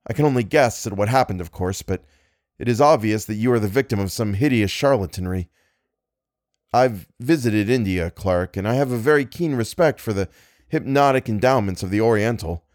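A man voicing a calm, compassionate gentleman who reasons steadily with a frightened friend. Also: on the low side, normal speed, no room sound, studio quality, close to an everyday voice. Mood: reasoning.

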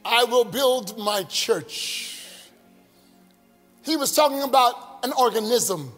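High-voiced preacher in echoing hall. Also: male voice, breathy edge. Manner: rhythmic call-and-response preaching, rising to shouts. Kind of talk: sermon. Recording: long hall reverb, faint music.

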